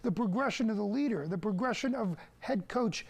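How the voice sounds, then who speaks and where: A woman's voice: mid-range voice, animated, fairly quick, creaky, a little mellow, husky. A woman working as a local TV sports host, asking questions in a conversational interview style.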